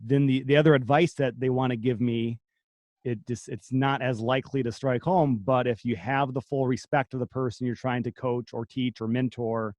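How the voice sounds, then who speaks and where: A man's voice: medium pitch, a little mellow, no room sound. A man in his thirties hosting a podcast, asking thoughtful, reflective questions in conversation. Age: 30s. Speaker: podcast host. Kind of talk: conversational interview.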